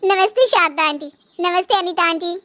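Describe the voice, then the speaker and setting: Woman voicing a high-pitched, polite young daughter-in-law. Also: moderate pace, sharp tone, dry recording, clean studio sound. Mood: cheerful polite. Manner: earnest, courteous. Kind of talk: cartoon moral story acting.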